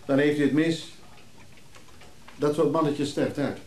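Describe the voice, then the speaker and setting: A man acting in a radio drama as a hesitant, anxious antiques buyer who speaks haltingly. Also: on the high side, steady tone, a little mellow, reverberant, a little hiss or hum, natural voice. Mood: reserved, polite.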